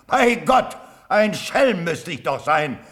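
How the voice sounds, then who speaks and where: Impassioned old colonel, wide-swinging delivery. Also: male voice, fairly high pitch, very animated, takes it slowly, heavily acted. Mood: fiery, defiant. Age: older. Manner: declamatory, impassioned, swinging from hushed to forceful. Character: old loyal cavalry colonel, bluntly passionate. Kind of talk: classical radio drama verse acting.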